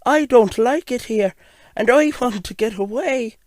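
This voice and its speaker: A man voicing a sweet, wistful little female toy steam engine, gentle and earnest. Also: very high voice, measured pace, dry recording, pitched above the performer's own voice.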